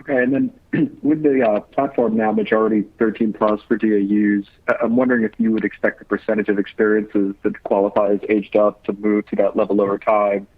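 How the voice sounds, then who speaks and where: Low, flat male analyst on the phone. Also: brisk, hardly any pauses, no room sound. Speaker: financial analyst calling in with questions. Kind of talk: question on an earnings call. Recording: narrow, dry phone line.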